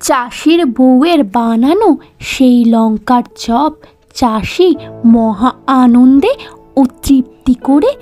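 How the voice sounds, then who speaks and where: A woman narrating a children's cartoon story in measured, short phrases. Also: high-pitched, expressive, warm tone. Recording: music behind the voice.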